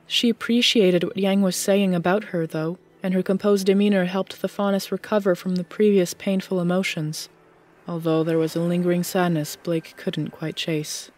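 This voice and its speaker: A woman's voice: on the low side, a little mellow. A woman reading scripted fiction as the narrator of an audiobook.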